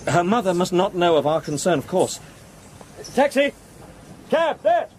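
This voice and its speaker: An actor playing an anxious, well-bred young Victorian gentleman in an audio drama, formal and a little halting. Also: sits high, big pitch swings. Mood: calling out. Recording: faint background noise and hiss.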